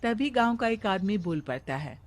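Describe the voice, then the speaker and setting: A woman narrating a cartoon moral story in a calm, measured storytelling manner. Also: medium pitch.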